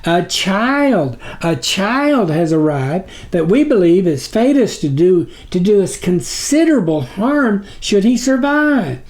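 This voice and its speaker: A man voicing a high-voiced, fawning, pleading demon minion in a storybook reading. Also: very animated, slow, hardly any pauses, darkish tone, exaggerated voice. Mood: frantic pleading. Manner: obsequious, pleading.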